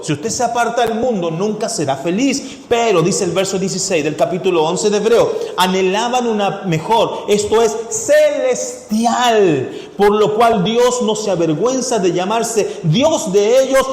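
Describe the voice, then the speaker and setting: Man preaching, high-pitched, mixed Latin American accent, fervent, recorded in a reverberant church hall. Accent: mixed Ecuadorian, Chilean and Mexican Spanish. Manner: preaching with rhetorical questions, rising to fervent shouts. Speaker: pastor. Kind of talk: sermon. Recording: echoey hall with long reverb.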